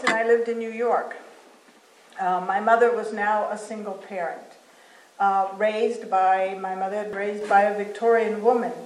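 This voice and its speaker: A woman from Venezuela, speaking as a guest and telling her life story in a rambling, anecdotal way. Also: medium pitch. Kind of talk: personal storytelling talk. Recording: echoey hall with faint noise.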